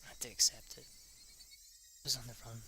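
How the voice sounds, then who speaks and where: A man's voice: medium pitch, huge pitch swings, moderate pace, pushed and bright, slight background noise. A young man reading a visual novel aloud, often stumbling and restarting his lines. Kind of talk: amateur read-through narration.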